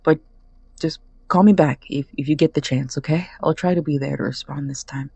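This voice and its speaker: A man's voice: a little high, a little fast, gravelly, mellow, husky, dry recording. A man playing an earnest, hopeful young friend leaving a hesitant answering-machine message in an audio drama. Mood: wistful, sincere. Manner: hesitant, sincere, rambling.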